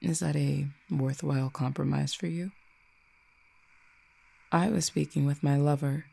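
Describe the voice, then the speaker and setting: A performer voicing an ancient, possessive cupid in an audio roleplay, speaking in a haughty, deliberate, teasingly menacing way. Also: mid-range voice, steady tone, creaky, darkish tone, breathy edge, exaggerated voice. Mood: dominant, teasing. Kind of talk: scripted audio roleplay, acted monologue.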